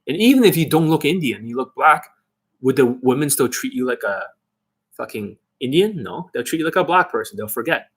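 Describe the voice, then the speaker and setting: A young man speaking straight to his viewers in a blunt, swearing rant. He fires off rhetorical question after rhetorical question in a husky voice at an ordinary pitch. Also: a bit bright. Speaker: dating coach. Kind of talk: ranting monologue to camera.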